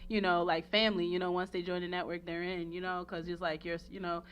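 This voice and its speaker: A woman's voice: fairly low pitch, flat delivery, quick pace, fairly bright tone, a little hiss or hum. A woman hosting a casual radio interview, effusive and slangy, rambling and often repeating herself. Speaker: radio show host and interviewer. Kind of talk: casual promotional interview chat.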